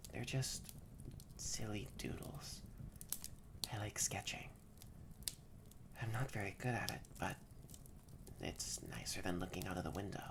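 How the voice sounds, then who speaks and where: A young adult performer voicing a haughty, grumpy yet secretly shy catboy in an intimate roleplay audio drama, stammering and often slipping into breathy near-whispers. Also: low-pitched. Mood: soft, sleepy.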